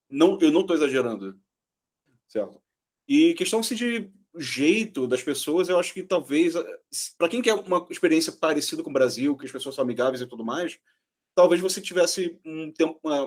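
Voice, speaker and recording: A man's voice: a little high, darkish tone. A Brazilian man with a Brazilian Portuguese accent, co-hosting a podcast in casual chat. He is earnest and often closes a point with a checking question. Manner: earnest, ends points with "certo?".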